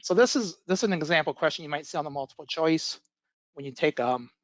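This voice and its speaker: A man who is an IT instructor, giving a casual webinar presentation, with a voice worn slightly hoarse from illness and many fillers and restarts, on a computer mic. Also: fairly high pitch, animated, fast talker, a bit bright, no echo. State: losing his voice from illness.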